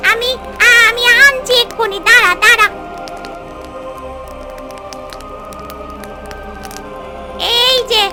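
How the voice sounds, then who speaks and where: A performer voicing a scheming female swamp ghost in a folk-tale cartoon, exclaiming, whining and making threats. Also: very high-pitched. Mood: frightened, yielding.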